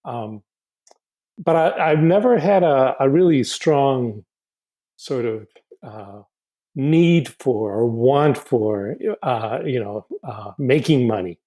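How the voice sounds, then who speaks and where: A man in his sixties from Honduras, a podcast guest who speaks in a halting, reflective way, often restarting and repeating words. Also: mid-range voice, lively intonation, dark tone. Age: 60s. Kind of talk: casual podcast interview.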